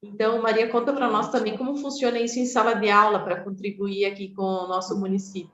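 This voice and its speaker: A woman's voice: medium pitch, little pitch movement, fast. A woman moderating an online meeting, brief and friendly as she introduces the next speaker. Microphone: video call. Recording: echoey, dull video call audio.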